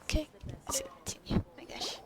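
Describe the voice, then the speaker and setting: A woman's voice: moderate pace, background noise. A woman giving casual playthrough commentary as a game streamer, with dry, muttered, teasing asides.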